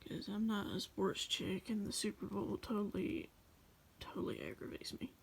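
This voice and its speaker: A woman casually reading her journal aloud as a voice-over, in a rambling, halting way. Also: mid-range voice, steady tone, vocal fry, breathy edge, in a whisper. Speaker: journal flip-through video creator.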